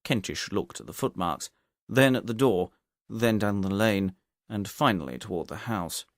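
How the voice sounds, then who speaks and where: Deep-voiced man narrating, close and dry. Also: animated, moderate pace, no room sound. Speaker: audiobook narrator. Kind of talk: scripted narration of a detective story.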